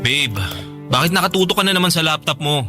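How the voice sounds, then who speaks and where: A man's voice: a little high, fast talker, natural voice. A man playing a neglected, sulky boyfriend in a radio drama, reproachful and argumentative. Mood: impatient. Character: frustrated, neglected young boyfriend, pleading and sulky. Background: faint music.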